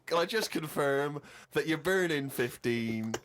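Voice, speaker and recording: A man's voice: a little high, animated, a little slow, noisy background. A man bantering casually with dry sarcasm and a mock-earnest way of checking details. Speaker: comedy show co-host.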